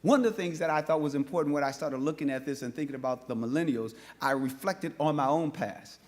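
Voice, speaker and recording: A man's voice: a little high, fast talker. A man from North Minneapolis giving an impassioned speech at a public forum, often restarting his words. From North Minneapolis, USA. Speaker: panel speaker. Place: forum hall with live audience.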